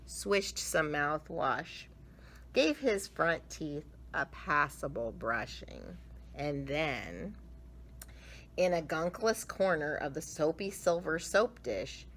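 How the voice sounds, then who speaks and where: A woman reading a picture book aloud to children in a theatrical way, with dramatic emphasis and drawn-out words. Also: fairly low pitch, fairly bright tone. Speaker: children's story reader.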